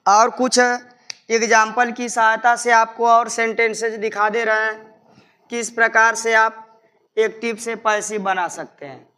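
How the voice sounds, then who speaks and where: A man's voice: high voice, level tone, measured pace, bright, forceful tone, clean tone. A man with an Indian accent speaking as a teacher, explaining step by step in a didactic way and repeating his instructions. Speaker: English grammar teacher. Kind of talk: instructional lesson, explaining step by step in Hindi with English terms.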